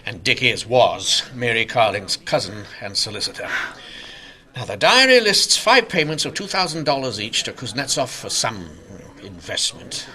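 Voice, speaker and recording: An actor playing a stern, driven Victorian inspector in a radio mystery, with emphatic, intense delivery. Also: male voice, medium pitch, very animated, normal speed, bright, forceful tone. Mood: urgent explaining. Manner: emphatic, intense, clipped declarations. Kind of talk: old-time radio mystery acting.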